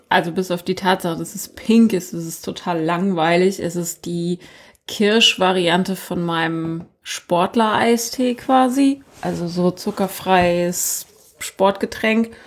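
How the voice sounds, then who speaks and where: Chatty wry woman podcaster. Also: mid-range voice. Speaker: podcast co-host. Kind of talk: casual chat.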